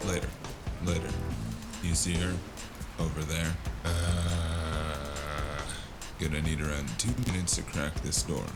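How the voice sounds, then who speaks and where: A man playing a world-weary, wry noir freelancer in a gravelly voice, narrating a cyberpunk fantasy audio drama in the first person. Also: deep voice. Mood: casual, exasperated. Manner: wry, brooding, unhurried. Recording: constant loud music underneath. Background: loud music.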